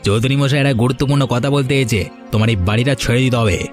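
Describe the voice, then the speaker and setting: A man's voice: mid-range voice, fairly even intonation, natural voice. A man voicing a sly, menacing village landgrabber in a moral-story cartoon. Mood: falsely friendly. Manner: sly, menacing, drawn-out phrasing. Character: scheming, bullying village strongman landgrabber. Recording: music behind the voice.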